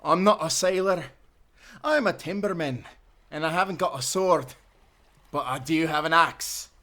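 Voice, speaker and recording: A man's voice: a little high, a little slow, pushed and bright, slight background noise. A man playing a bold, defiant Scottish timberman with a swaggering manner in an audio drama.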